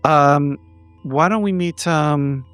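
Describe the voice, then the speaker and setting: A man voicing a friendly woman who talks haltingly and casually while asking a favour in a tabletop game. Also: on the high side, a bit bright, clear, resonant tone, dry recording, soft background music, voice pushed up. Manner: halting, casual phone talk.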